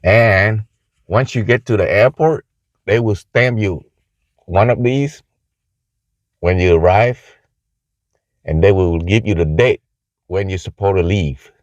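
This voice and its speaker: Low-voiced Vietnamese-accented man, halting delivery. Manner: halting, informal explaining. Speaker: travel vlogger. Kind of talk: casual talking-head video. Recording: muffled, echoey room sound.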